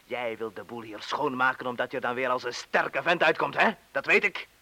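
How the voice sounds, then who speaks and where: A man's voice: mid-range voice, brisk, pushed and bright. An actor playing a frightened, emotional man stuck beside a bomb in a radio play. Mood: desperate, accusing. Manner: emotional, swinging between subdued and pleading. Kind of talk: Dutch radio play acting.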